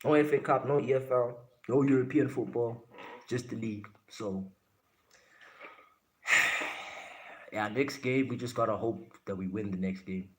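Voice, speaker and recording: A man's voice: medium pitch, steady tone, unhurried, pauses often, fairly bright tone. A man from Africa, chatting casually on a livestream and answering viewer comments bluntly, swearing and trash-talking as he goes. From South Africa. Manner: blunt, brash, swears and trash-talks chat.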